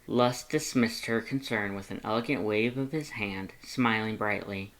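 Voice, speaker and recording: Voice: mid-range voice, moderate pace, a bit bright, low-quality recording. A narrator reading a fan fiction story aloud from a script.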